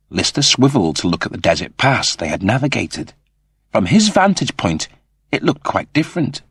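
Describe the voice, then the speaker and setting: A man reading scripted science fiction narration as an audiobook narrator, in a measured, dramatic manner. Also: fairly low pitch, breathy edge, no echo, clean studio sound.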